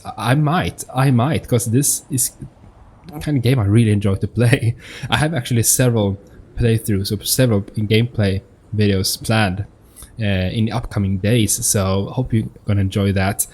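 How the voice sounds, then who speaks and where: Husky man doing casual game commentary. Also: on the low side, a little mellow. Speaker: gaming video host. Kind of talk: casual let's-play commentary. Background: game music.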